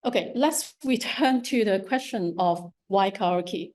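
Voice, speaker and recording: A woman's voice: mid-range voice, a little slow, a little mellow. Woman with a strong Cantonese accent. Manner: hesitant, many ums and restarts. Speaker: visual artist giving a talk. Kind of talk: lecture on her art practice.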